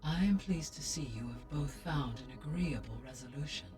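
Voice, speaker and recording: A calm, formal AI guardian. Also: female voice, low-pitched. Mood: measured. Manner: measured, precise and formal. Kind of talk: video game dialogue. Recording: distant game audio with music.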